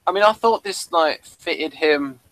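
A man's voice: a little high, a little slow, a bit bright, no room sound. A man hosting a casual episode review chat, talking in a hesitant, rambling way and addressing listeners. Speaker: host of a TV review discussion.

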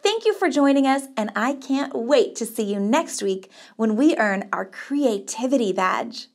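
A woman's voice: on the high side, expressive, a little slow, darkish tone. A middle-aged woman hosting a children's craft tutorial as a camp counselor, encouraging and upbeat as she talks to kids. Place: small studio set, indoors.

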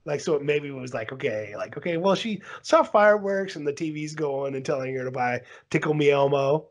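A man's voice: fairly high pitch, brisk. A man co-hosting a casual podcast, rambling with lots of "like" and "dude" as he spins a story. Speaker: podcast co-host.

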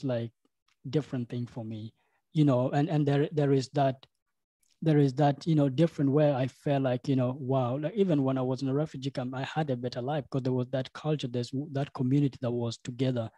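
A young man hosting a podcast interview, halting and full of fillers like "you know.". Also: mid-range voice, fairly even intonation, warm tone, no room sound. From South Sudan. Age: young adult. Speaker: podcast host. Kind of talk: casual interview. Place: home studio room. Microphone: studio microphone with headphones.

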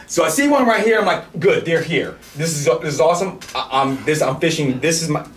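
A middle-aged man hosting a podcast, telling a casual story in an animated, excitable way, swearing freely and restarting sentences. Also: fairly high pitch, fairly quick, crowd noise. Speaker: podcast host. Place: indoor studio with camo backdrop, at a table. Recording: roomy and distant with faint noise.

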